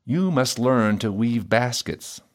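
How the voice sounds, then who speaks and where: A bossy, matter-of-fact fiddler from a fairy tale, read by a storyteller. He talks bluntly and reproachfully to his wife, with an expressive, varied delivery. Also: mid-range voice, slow pace. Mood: scolding.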